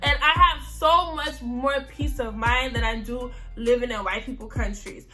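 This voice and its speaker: A young American woman hosting a casual chat, animated and emphatic, often repeating her phrases. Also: on the high side. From United States. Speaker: host.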